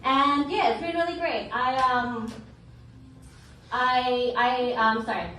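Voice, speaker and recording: A woman's voice: sits high, fairly even intonation, slow, bright, forceful tone. A woman with an Australian accent doing a stand-up set in a small club, bubbly and self-deprecating. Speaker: stand-up comedian. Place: small comedy club stage indoors. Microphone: stage mic, heard from an audience camera. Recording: distant, echoey club audio with audience noise.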